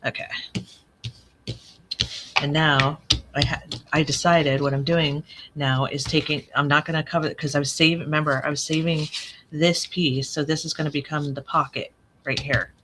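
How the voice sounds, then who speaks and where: A woman with a raspy, allergy-worn voice, rambling and correcting herself as she talks through a live crafting tutorial at her desk. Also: low voice, steady tone, a little slow, pauses often, a bit bright, slight background noise. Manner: chatty, rambling, self-correcting. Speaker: craft channel host.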